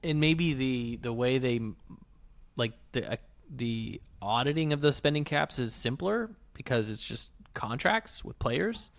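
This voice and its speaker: A man's voice: mid-range voice, expressive, gravelly. Guy podcast host arguing casually, lots of questions, restarts his thoughts, normal mid voice. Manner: questioning, halting, rephrases as he argues. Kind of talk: casual sports business debate.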